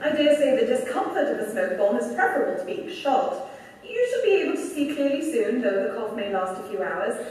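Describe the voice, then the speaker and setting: A woman's voice: fairly high pitch, sing-song, fairly quick, a little mellow. A woman acting an unflappable secret agent in a 1940s-style radio play, with a genteel, breezy manner. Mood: breezy confidence. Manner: breezy, genteel, self-assured. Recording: echoey hall, distant mic, faint noise.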